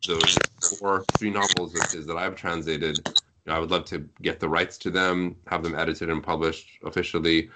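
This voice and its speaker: A man's voice: low voice, flat delivery, normal speed, pushed and bright, a little hiss or hum, rough recording. A man speaking as a podcast guest in casual conversation, explaining things in a talkative way. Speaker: guest on a podcast, web novel translator.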